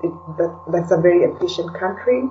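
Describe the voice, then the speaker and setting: A woman from Kenya speaking as a podcast guest in a casual, reflective way, often pausing to search for words. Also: fairly low pitch, dark tone, slight background noise. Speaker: interview guest, blogger and podcaster. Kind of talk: casual conversational interview. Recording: distant, dull lo-fi call sound.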